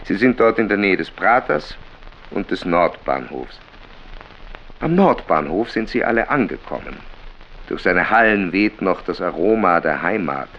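Slow, gravelly, solemn male reciter. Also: sits low, a bit bright, highs cut off, full character voice. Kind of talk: literary recitation in a radio play.

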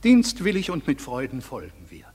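An actor playing a stern, aggrieved father in a classical verse radio drama, declaiming indignantly with a husky voice. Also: a little high, huge pitch swings, normal speed, a little mellow, exaggerated voice. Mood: deferential. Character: stern, aggrieved father demanding his paternal rights. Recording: hall-like reverb.

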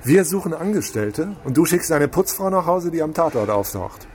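A man's voice: on the high side, very animated, moderate pace, darkish tone, slight background noise. A man playing a short-tempered police boss in a radio crime drama, sarcastic and commanding. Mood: angry, sarcastic.

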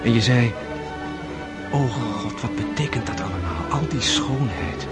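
A courtly prince played by a male actor in a period radio play, formal and gallant, with a tender undertone of someone once tired of life. His voice sits at a medium pitch, and loud music plays behind him. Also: lively intonation, a little slow, natural voice. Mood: earnest, tender. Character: courtly, once world-weary prince, tenderly smitten with a young countess. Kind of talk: period radio drama acting.

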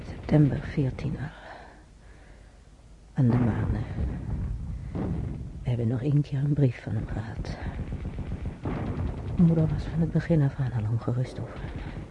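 A man acting a wistful character who reminisces about his childhood in a radio drama, intimate and halting, with a gravelly voice. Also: mid-range voice, natural voice. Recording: dull, noisy old radio recording.

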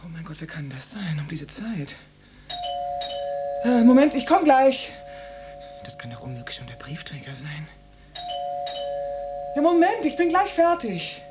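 An actress playing a flustered, flirtatious housewife in her mid-thirties in a radio play, hesitant and stammering, with breathy asides. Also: medium pitch, huge pitch swings, unhurried, long pauses, music in the background. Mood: flustered, anxious. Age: 30s.

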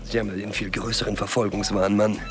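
An actor playing a wary, impatient small-time drug dealer with a dry, prodding manner in a radio crime drama. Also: male voice, on the low side, flat delivery, quick pace, over music, lightly acted.

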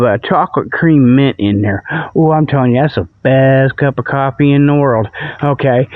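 Folksy rambling man, slightly high, lo-fi sound. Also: no room sound. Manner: folksy, meandering, repetitive. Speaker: radio show host. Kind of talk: rambling comic solo monologue. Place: outdoors on a walk before dawn. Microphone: budget tablet held in hand. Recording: dull lo-fi tablet recording.

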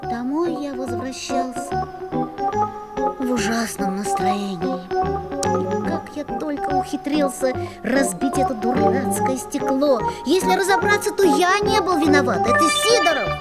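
High-pitched excitable schoolboy. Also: female voice, unhurried, loud music behind the voice. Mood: dismayed, indignant. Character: excitable mischievous schoolboy telling his own adventure. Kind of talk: children's audio play narration and acting.

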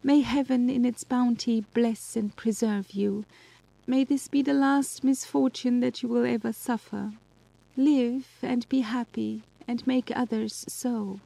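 A man voicing a gentle young woman prisoner facing death, speaking softly and sorrowfully in an audiobook. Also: very high-pitched, fairly even intonation, a little slow, gravelly, no echo, pitched above the performer's own voice, full character voice, rough recording. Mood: tender grief. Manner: soft, tender and sorrowful. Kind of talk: audiobook reading of a classic novel.

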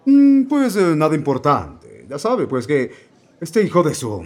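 A man's voice: a little high, huge pitch swings, a little mellow, exaggerated voice. A man with a Mexican accent voicing a sly, courtly devil in a comedy radio drama. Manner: sly, chuckling, drawn-out and courtly. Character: sly smooth-talking devil, male adult.

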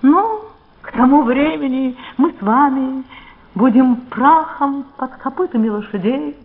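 A woman's voice: high voice, animated, a little slow, slight background noise. An actress playing an imperious, witty Elizabethan queen in a radio play, with a stately, wry, declamatory delivery. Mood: wry, ironic. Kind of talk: theatrical radio play acting. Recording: dull vintage radio drama recording.

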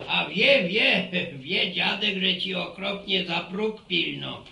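A man's voice: sits high, takes it slowly, vocal fry, pushed and bright, slight background noise, full character voice. An actor playing a folksy, teasing old grandfather in a radio serial, with a rural Polish accent and a rambling, repetitive manner. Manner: rambling, repeats words, folksy sayings. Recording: roomy, slightly distant radio drama recording.

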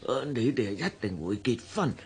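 A man's voice: mid-range voice, big pitch swings, normal speed, a little mellow, husky. An actor playing a jolly, chatty man in his seventies in a Cantonese radio drama, stammering and chuckling, with a Hong Kong accent. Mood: jolly, grateful. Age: 70s. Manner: chuckling, stammering, full of exclamations.

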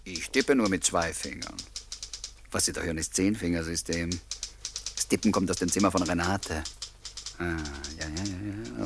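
A man's voice: low-pitched, noisy background. An actor playing a wry, courteous male writer who narrates and talks in a German radio play. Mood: wry, confiding.

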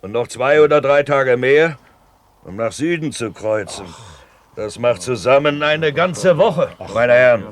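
An actor playing a grizzled, blustering grey-haired merchant captain in a radio drama, with a hoarse, salty, emphatic delivery. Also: male voice, mid-range voice, expressive, unhurried, natural voice. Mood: businesslike, hearty. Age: older. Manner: bawling, emphatic, salty storytelling. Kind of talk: 1970s German radio drama acting.

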